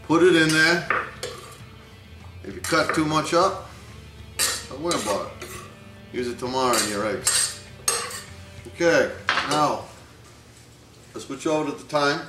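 A middle-aged man hosting a home cooking show, walking through a recipe in a relaxed, folksy way with wry jokes. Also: fairly high pitch, unhurried, long pauses, music in the background. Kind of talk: casual cooking demonstration. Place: home kitchen, pans sizzling. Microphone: camera mic from a distance. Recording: echoey home video from far off.

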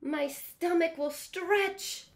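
Woman voicing an excitable, dramatic storybook crocodile, high-pitched. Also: heavily acted. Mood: alarmed, panicking. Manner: dramatic, exclaiming, drawn-out words. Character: excitable, panicky young male crocodile who loves watermelon. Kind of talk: children's storybook read-aloud.

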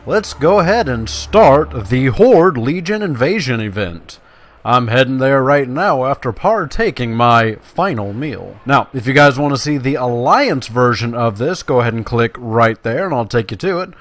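A man's voice: mid-range voice, animated, normal speed, fairly bright tone. A man giving casual, self-deprecating let's-play commentary, talking directly to his viewers. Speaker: gaming YouTuber, host. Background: video game sound.

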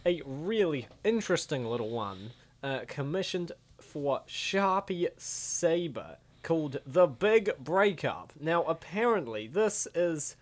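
Male comic-dub host, casual and excitable, with big swings in pitch and an unhurried pace. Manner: excitable, exclamatory. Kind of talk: casual reaction commentary with scripted narration.

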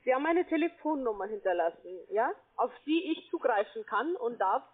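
A woman's voice: a little high, animated, a little fast. A woman working as a phone sales agent, speaking defensively and persistently in an argument. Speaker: telephone sales agent, account manager. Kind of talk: sales call turned argument. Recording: thin, band-limited phone-line sound.

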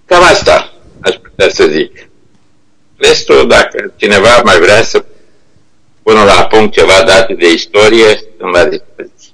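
Older man with a very low voice. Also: animated, creaky, fairly bright tone, husky, poor audio. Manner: hesitant, halting, searching for words. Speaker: internet company co-founder, remote guest speaker. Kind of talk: informal reminiscing talk by video call. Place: remote video call played to a meeting room. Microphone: video call shown on projection screen.

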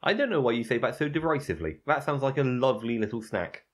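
Very low-voiced, lively woman podcaster. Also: fast, slightly rough. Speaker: podcast co-host. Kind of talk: casual banter.

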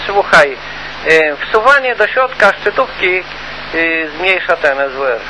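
A man's voice: sits high, animated, unhurried, bright, forceful tone. A man giving advice in a casual, colloquial and repetitive way during a CB radio chat. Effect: CB radio transmission. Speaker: CB radio hobbyist. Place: two-way CB radio conversation. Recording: noisy CB radio transmission.

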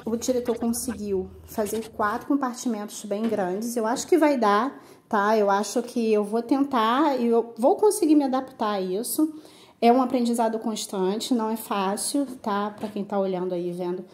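High-voiced Brazilian woman, earnest vlogger. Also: moderate pace, darkish tone, breathy edge. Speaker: vlog co-host. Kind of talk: casual vlog talk and narration.

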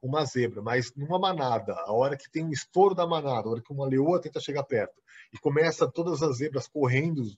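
Man, Brazilian accent, online lecturer, halting restarts, husky voice, dull call audio. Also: mid-range voice, animated. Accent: Brazilian Portuguese. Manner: halting, many repeated words and restarts; explains with frequent "né?" tags. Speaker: guest lecturer. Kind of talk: online lecture over slides. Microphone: video call.

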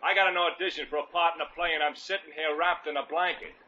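A man's voice: a little high, steady tone, quick pace, pushed and bright. An actor playing a broke, sarcastic, grumpy man in a 1940s radio comedy style. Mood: sarcastic, needy. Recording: dull old radio recording.

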